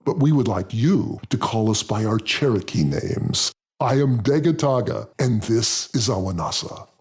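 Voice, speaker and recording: A man voicing a solemn, welcoming elderly man in an audiobook, speaking deliberately in a raspy, gravelly voice. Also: lowish voice, lively intonation, darkish tone, full character voice. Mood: warm, welcoming. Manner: deliberate, measured. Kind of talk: audiobook character voice.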